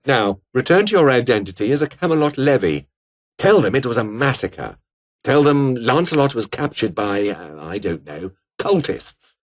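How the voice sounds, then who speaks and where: A man's voice: medium pitch, very animated, normal speed, natural voice. A male actor playing a haughty, bitter, scheming fallen aristocrat and rebel officer in a video game, with a British accent and a pompous, sneering, formal manner. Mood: scornful. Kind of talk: video game character dialogue.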